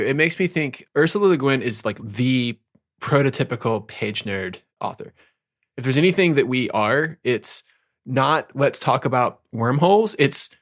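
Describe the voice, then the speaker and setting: A man co-hosting a book podcast, chatting in an upbeat, casual way. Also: medium pitch. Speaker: podcast co-host.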